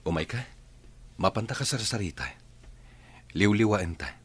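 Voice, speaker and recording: Low-voiced, considerate Ilocano husband played by an actor. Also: male voice, expressive, moderate pace, slightly rough. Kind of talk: Ilocano radio drama acting. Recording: faint music under the voice.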